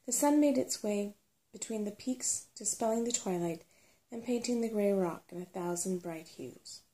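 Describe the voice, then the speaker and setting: A woman's voice: mid-range voice, normal speed, dark tone. A woman reading a children's fantasy novel aloud as ASMR storybook narration. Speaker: storybook reader.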